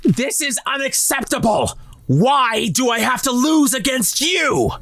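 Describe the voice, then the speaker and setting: A man's voice: very high voice, very animated, a little slow, gravelly, fairly bright tone, voice pushed up, heavily acted. A man voicing an arrogant, hot-tempered teenage boy volleyball ace in a manga dub, sneering and scornful. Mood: furious, indignant.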